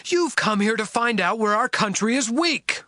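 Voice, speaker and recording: A man's voice: sits high, expressive, moderate pace, bright, forceful tone, no echo, studio quality. A man playing a stern, suspicious governor of ancient Egypt in an audio Bible drama, speaking harshly and with authority. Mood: harsh, accusing. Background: music.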